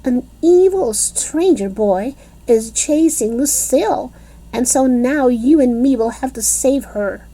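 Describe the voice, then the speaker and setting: A woman voicing a bossy, excitable little kindergarten girl who talks loudly with childish grammar. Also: on the high side, measured pace, voice pushed up, heavily acted, low-quality recording. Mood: urgent.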